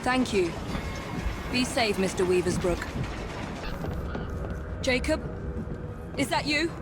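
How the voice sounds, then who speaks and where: A Victorian English woman assassin for a video game. Her fairly high voice is brisk and commanding, composed and determined, with music playing underneath. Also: lively intonation. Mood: concerned. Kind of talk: video game cutscene acting.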